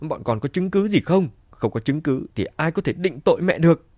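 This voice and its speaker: A man voicing a bitter, cruel middle-aged mother in an audiobook, shouting spitefully and defiantly. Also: mid-range voice, sing-song, quick pace, breathy edge, no echo, clean studio sound.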